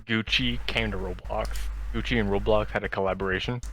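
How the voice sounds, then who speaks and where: A man's voice: fairly low pitch, fairly even intonation, fairly quick, sharp tone, a little hiss or hum. A man co-hosting a podcast, chatting casually with friends and often repeating words as he explains things. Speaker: podcast co-host.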